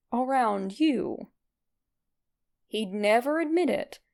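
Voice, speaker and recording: Creaky-voiced woman reading a story aloud. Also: a little high, darkish tone. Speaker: fan fiction reader. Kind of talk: expressive scripted story narration.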